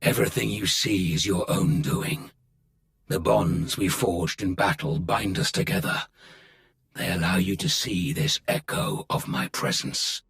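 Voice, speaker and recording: A commanding Sith lord from a sci-fi game. The voice is very deep and full of gravelly creak. He speaks deliberately, with cryptic menace. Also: fairly bright tone, exaggerated voice. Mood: ominous. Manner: slow, deliberate, ominous. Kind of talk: video game cutscene acting.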